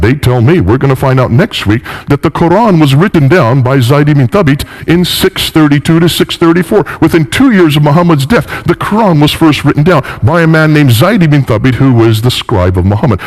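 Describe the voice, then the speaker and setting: Animated man lecturing emphatically. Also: on the high side, brisk, hardly any pauses, low-quality recording. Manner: emphatic, rhetorical questioning, repeats phrases for emphasis. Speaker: lecturer in religious history.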